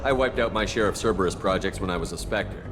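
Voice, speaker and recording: An actor playing a wary male military commander with a guarded, measured manner in a video game. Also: sits low, long reverb tail, noisy background, natural voice. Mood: skeptical.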